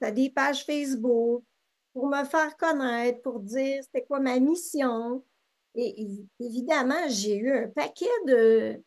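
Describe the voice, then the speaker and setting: Interview guest: middle-aged Québécois woman, high voice, chatty, full of fillers and restarts. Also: darkish tone. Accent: Québécois French. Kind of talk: casual interview conversation.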